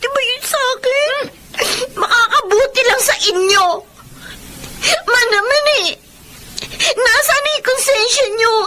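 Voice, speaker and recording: A woman's voice: extremely high pitch, a little slow, background noise. An actress playing an earnest, defiant fifteen-year-old girl in a Filipino melodrama, plaintive, with a Filipino accent. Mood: tearful, indignant. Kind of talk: Filipino radio-style melodrama acting and narration. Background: prominent music.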